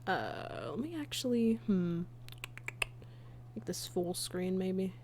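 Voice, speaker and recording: A woman's voice: medium pitch, animated, takes it slowly, darkish tone, slight background noise. A woman chatting casually on a livestream as its host and creator. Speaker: streamer, fan comic dub creator.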